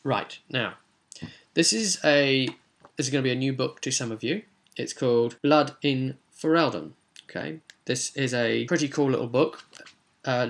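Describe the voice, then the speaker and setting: Brief: game master recapping his session, bright, rambling, lots of ums. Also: medium pitch. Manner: rambling, frequent ums and restarts.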